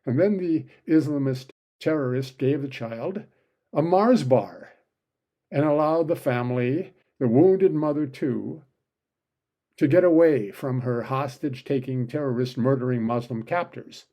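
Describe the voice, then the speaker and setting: A man's voice: medium pitch, measured pace, dark tone. A man delivering an opinion commentary monologue, full of sardonic, sarcastic asides.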